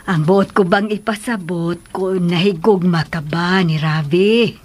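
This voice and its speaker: An actress playing a concerned madam advising a younger woman in a radio drama, measured, with a Cebuano Filipino accent. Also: lowish voice, darkish tone.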